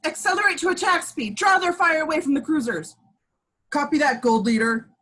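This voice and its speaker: A woman playing an urgent, brisk rebel pilot commander in a film script table read. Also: high-pitched, sharp tone.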